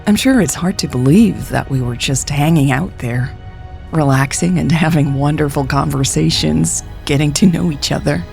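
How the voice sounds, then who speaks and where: Woman, podcast story narrator, low voice, creaky fry, intimate, scripted delivery. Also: normal speed, darkish tone, soft background music.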